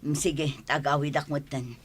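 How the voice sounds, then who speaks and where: A woman's voice: deep voice, fairly even intonation, normal speed, slightly rough. A woman with an Ilocano accent acting in a radio drama as a scolding, bitterly disappointed village mother. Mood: guarded, evasive. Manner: scolding, exclamatory, lamenting.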